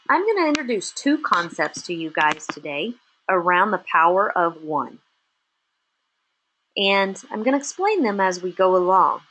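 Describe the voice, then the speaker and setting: A woman's voice: medium pitch, a little hiss or hum, poor audio. A middle-aged woman with a Texas accent giving a motivational business webinar, speaking in short emphatic statements. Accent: Texan. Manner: emphatic, punchy short statements with lively rises. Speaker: webinar presenter and business coach for chefs.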